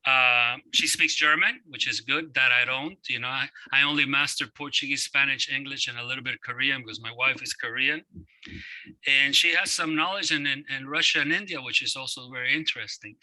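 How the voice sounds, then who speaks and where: A man from Cuba with a very bright, sharp voice introduces the next speaker on an online seminar. He speaks haltingly, with many "uh"s. The sound is dry, heard over a video call. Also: mid-range voice, steady tone, no room sound, low-quality recording. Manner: hesitant, many fillers. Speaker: event chairperson.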